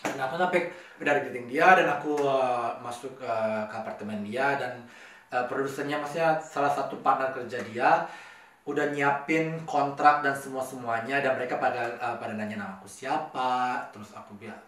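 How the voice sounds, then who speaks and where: A man's voice: mid-range voice, a bit bright. Hesitant Indonesian man in an echoey room. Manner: hesitant, halting, repeats words. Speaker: vlogger telling his own story. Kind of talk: casual storytelling to camera, mixing Indonesian and English. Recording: very echoey room, distant mic.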